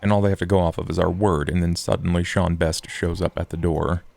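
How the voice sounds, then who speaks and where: A man voicing a grim, shrewd vampire strategist in a tabletop roleplay, with a gravelly voice. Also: sits low, flat delivery, brisk, a little mellow. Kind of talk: actual play roleplay dialogue.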